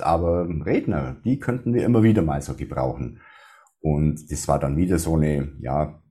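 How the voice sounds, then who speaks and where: A man with a deep bass-baritone voice and a faint Swabian accent, talking in an interview in a measured way with many restarts. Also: dark tone. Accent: slight Swabian. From Swabia, Germany. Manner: measured, with frequent restarts and fillers. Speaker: funeral speaker. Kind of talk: casual interview talk.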